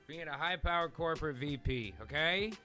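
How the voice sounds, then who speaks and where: A man's voice: fairly high pitch, moderate pace, bright, forceful tone, faint music behind. A man hosting a live comedy show, talking casually and informally, swearing freely and reading aloud as he types. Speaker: host of a live improvised radio drama show.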